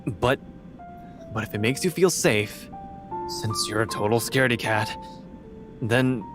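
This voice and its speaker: An actor playing an awkward, apologetic young man in a visual novel, speaking haltingly and stumbling over words. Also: lowish voice, lightly acted. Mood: shy, guarded. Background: game music.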